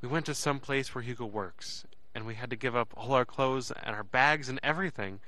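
A man plays an earnest young man telling his own story in an audio drama. His voice sits at a middle pitch and carries plenty of emotion. He phrases deliberately, with dramatic pauses and repeated words. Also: bright, forceful tone, no echo, close to an everyday voice. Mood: earnest, relieved. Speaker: narrator. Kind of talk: first-person audio drama narration.

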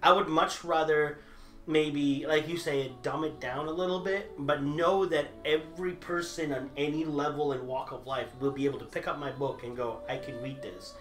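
Guy who grew up speaking italian, talks fast and waves his hands, echoey room full of movie stuff. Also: on the high side, soft background music. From grew up overseas, Italian at home. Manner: animated, gestures a lot, many restarts. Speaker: debater for the Harry Potter side. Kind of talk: casual debate chat. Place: small indoor room full of movie memorabilia.

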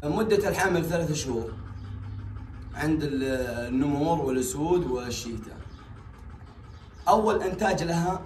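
A young Saudi-accented man talks casually and instructively to a camera a few steps away. His voice sits a little high. The sound is distant and strongly echoey, as in a small bare tiled room. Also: lively intonation, moderate pace, long pauses, darkish tone. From Saudi Arabia. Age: young adult. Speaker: big cat owner and vlogger. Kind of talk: casual explanatory vlog talk.

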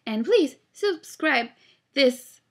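A woman's voice: high voice, animated, drawn-out words, very noisy. A young woman from Switzerland who speaks Italian natively, chatting casually to a webcam and explaining things step by step with plenty of fillers. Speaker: singer-songwriter. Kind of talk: casual vlog with screen walkthrough. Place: indoor room, webcam video.

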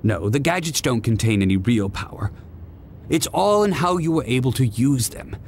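An actor playing a kindly, earnest elderly professor who mentors a young hero in a video game. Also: male voice, mid-range voice, lively intonation, normal speed, a little hiss or hum, lightly acted. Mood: warm, encouraging. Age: older. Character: kindly elderly male professor, encouraging and concerned. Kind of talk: video game cutscene acting. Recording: game music behind voice.

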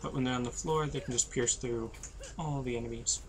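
A tired young man, losing his voice, giving low-energy, rambling commentary over a video game. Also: fairly even intonation, fairly quick, rough recording. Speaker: gameplay commentator. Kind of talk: casual let's-play commentary.

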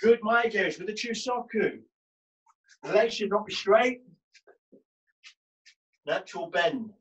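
A man's voice: sits high, expressive, unhurried, lo-fi sound. Man coaching a karate class. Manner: barking encouragement and commands while training. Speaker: karate instructor. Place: small brick-walled gym.

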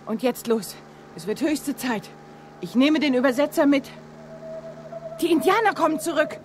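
An actress playing a capable, alert young heroine in a radio play. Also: female voice, high-pitched, animated, moderate pace, noisy background.

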